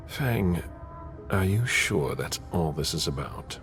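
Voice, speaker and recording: An actor playing a stern, protective and menacing vampire enforcer in a video game, speaking in a grave, commanding, gravelly way. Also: male voice, sits low, lively intonation, slow, a bit bright, faint music behind, exaggerated voice. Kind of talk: dramatic video game acting.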